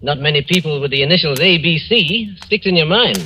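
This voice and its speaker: An actor playing a genial, talkative English gentleman in a 1940s radio drama, brisk and chummy. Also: a little high, lively intonation, a little hiss or hum. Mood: friendly, breezy. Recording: old radio recording with music.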